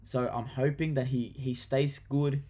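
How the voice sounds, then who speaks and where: A young Australian man talks through his fantasy football picks in an easygoing, chatty vlog. His voice sits at an ordinary middle pitch, and his delivery is enthusiastic, loose and full of ums and quick restarts. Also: flat delivery, warm tone. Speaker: football vlogger. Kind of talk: casual fantasy football chat to camera.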